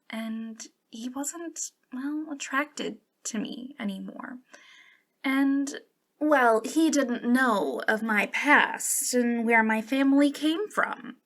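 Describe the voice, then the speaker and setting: A woman's voice: fairly high pitch, a bit bright, full character voice. A woman playing a centuries-old, youthful-looking witch in an ASMR roleplay, confiding coyly and hesitantly with a giggly, sinister playfulness. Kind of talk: ASMR roleplay monologue addressed to the listener.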